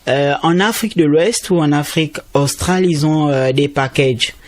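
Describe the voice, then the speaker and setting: A man's voice: moderate pace, no room sound. A man, a student trainee explaining conservation as a radio interview guest, speaking emphatically. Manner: explanatory, emphatic with rising pitch.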